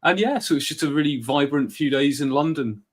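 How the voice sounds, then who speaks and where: A man with a husky voice from a cold, chatting enthusiastically as a podcast guest, rambling with many fillers. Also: fairly high pitch, lively intonation, fairly quick. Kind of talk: casual conversation.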